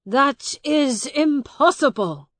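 A woman's voice: fairly high pitch, slow pace, no room sound. A woman voicing a long-lost brother in an audiobook, a pleading, indignant young man who speaks emphatically. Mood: shocked, insistent. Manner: emphatic, imploring. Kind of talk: graded audiobook character dialogue.

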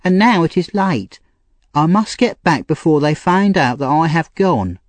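A man's voice: animated, a little slow, no echo. A man voicing a frightened, tearful young woman thief in a storybook reading, pleading and urgent. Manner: pleading, urgent and high-strung. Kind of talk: audiobook character reading.

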